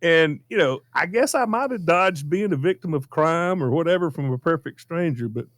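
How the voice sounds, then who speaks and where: A man's voice: on the high side, lively intonation, moderate pace, fairly bright tone, no echo. A man with a Southern American accent telling an anecdote casually in a folksy, repetitive, emphatic way. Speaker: storytelling show regular.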